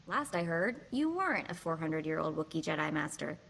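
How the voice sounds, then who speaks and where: A woman's voice: low voice, big pitch swings, fairly quick, vocal fry, fairly bright tone, a little hiss or hum, full character voice. A woman voicing a plucky, street-smart young slicer in a video game, wry and breezy, with clipped slangy talk.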